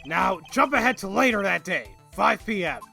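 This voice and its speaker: Guy doing eager folksy cop for a game, forceful bright voice with lots of gravel, explaining stuff. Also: high-pitched, sing-song, normal speed, full character voice. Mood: eager, explanatory. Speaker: voice actor in fan dub. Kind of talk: video game character acting, explanatory. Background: quiet game music.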